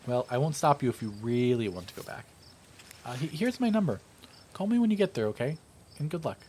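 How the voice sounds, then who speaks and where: A man voicing an excitable, friendly young woman in a visual novel, casual and chatty. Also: mid-range voice, brisk, slight background noise, close to an everyday voice. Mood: friendly, concerned. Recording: music playing behind the voice.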